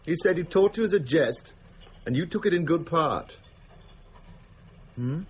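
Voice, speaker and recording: An actor playing a concerned gentleman in a period radio drama, questioning in a measured, formal manner. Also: a little high, expressive, husky, highs cut off. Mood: insinuating. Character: concerned, probing gentleman colleague of Newton.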